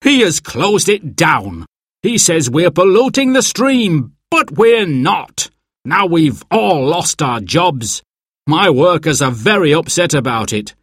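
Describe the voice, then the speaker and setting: An angry factory manager from a children's storybook, played by a man reading aloud. He is indignant and emphatic, his pitch pushed well up and swinging widely as he states his grievance. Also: very animated, takes it slowly, a bit bright, slightly rough, no echo, studio quality, exaggerated voice. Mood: angry, upset.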